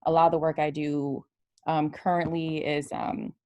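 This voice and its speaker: A woman's voice: deep voice, little pitch movement, normal speed, mellow, no room sound, dull audio. A woman speaking up as a participant on a video call, hesitant with many ums. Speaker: workshop participant. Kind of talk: casual remarks.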